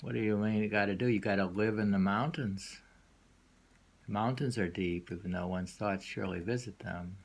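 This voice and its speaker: A man reading poetry aloud and discussing it informally, in a musing, halting way that lingers and repeats lines. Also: on the low side, fairly even intonation, creaky, slightly rough. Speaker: poetry reader and host.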